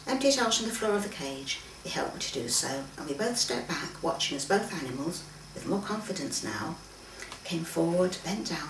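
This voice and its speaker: A woman reads a story aloud with lively, varied intonation, acting out the dialogue as she goes. She is recorded at some distance in a roomy space, with faint noise behind her. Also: fairly low pitch. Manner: acts out the dialogue, with occasional stumbles. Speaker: author reading own fiction aloud. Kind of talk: expressive story reading from a manuscript. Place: room in a private home, live reading event.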